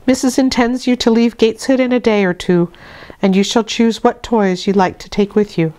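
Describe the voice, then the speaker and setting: A woman voicing a brisk young nursemaid from a storybook, cross but kindly, with a chiding, lilting manner. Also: on the high side, steady tone, mellow, pitched above the performer's own voice, natural voice.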